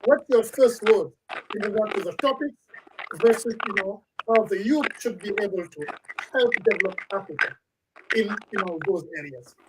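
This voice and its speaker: A woman's voice: medium pitch, expressive, very noisy. Woman hosting, heard from a distance. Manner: measured, with frequent restarts. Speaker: radio talk show host. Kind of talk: formal introductions and panel moderation. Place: in Toronto.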